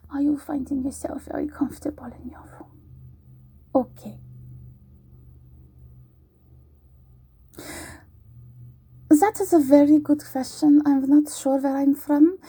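A woman's voice: high voice, warm tone. A woman putting on a giggly German-accented tavern maid. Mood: teasing. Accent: put-on German accent. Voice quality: breathy, whispered. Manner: giggly, teasing, slow. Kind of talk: roleplay audio.

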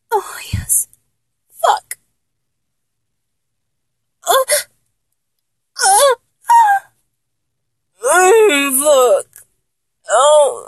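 A woman playing a needy, teasing male lover in an intimate adult audio roleplay, breathy and halting, often slipping into whispers. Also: high-pitched, no echo, full character voice. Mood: breathless, aroused.

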